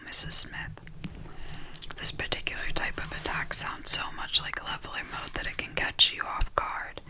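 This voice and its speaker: Very bright whispering reader. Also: poor audio. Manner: fully unvoiced whisper, reading steadily. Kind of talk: whispered ASMR book reading.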